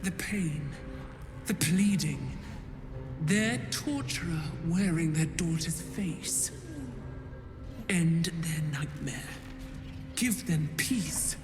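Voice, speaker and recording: A cold, imperious dark goddess for a fantasy game. She speaks slowly and solemnly in a low voice, her seductive commands trailing into long cavernous reverb. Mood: coldly coaxing. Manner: solemn, imperious, deliberate incantatory delivery. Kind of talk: dramatic video game acting. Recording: long cavernous reverb under loud music.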